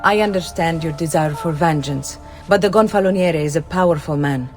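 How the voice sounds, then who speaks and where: An actress playing a gracious, worldly and quietly dangerous madam in a video game, composed and deliberate. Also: female voice, deep voice, over music, close to an everyday voice. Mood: cool, instructive. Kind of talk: dramatic video game cutscene acting.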